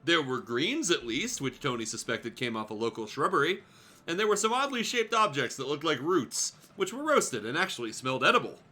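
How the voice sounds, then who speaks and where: A man's voice: fairly high pitch, sing-song, a little fast, pushed and bright. A man hosting a show, reading fan fiction aloud casually and comically, with playful, sardonic asides.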